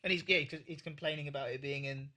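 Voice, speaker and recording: A man co-hosting a podcast in casual banter, excitable, emphatic and prone to swearing. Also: fairly high pitch, quick pace. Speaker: podcast co-host.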